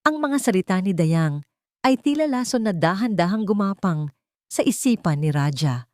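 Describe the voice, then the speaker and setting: A woman's voice: mid-range voice, animated, moderate pace, darkish tone, no echo, studio quality. A woman with a Filipino accent narrating a scripted dramatic story.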